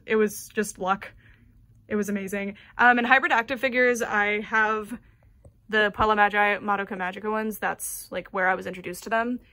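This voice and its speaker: A woman's voice: mid-range voice, bright, forceful tone, no room sound. A young American woman with an American accent giving a chatty, gushing tour of her doll collection, full of ums and likes. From United States.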